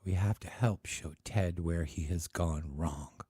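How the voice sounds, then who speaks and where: A man voicing a demure teenage girl who speaks softly, almost in a hush. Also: sits low, measured pace, husky, no echo. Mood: earnest. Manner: soft, hushed.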